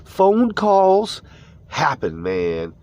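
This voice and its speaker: A man's voice: on the high side, huge pitch swings, extremely slow, a little mellow. A laid-back American man with a stuffy nose, chatting casually on a live morning stream from his phone inside an old camper van. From United States.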